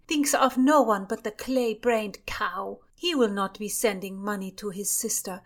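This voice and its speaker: A woman's voice: fairly high pitch, voice pushed up. A woman voicing a breathless, indignant young lady-in-waiting in a storybook reading. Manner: breathless, scornful.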